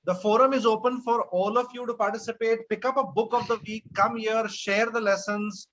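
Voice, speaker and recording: A man's voice: very high-pitched, fairly even intonation, brisk, a bit bright. A man with an Indian accent informally hosting a book club and thanking the participants. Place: online group meeting. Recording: muffled online call with noise and clicks.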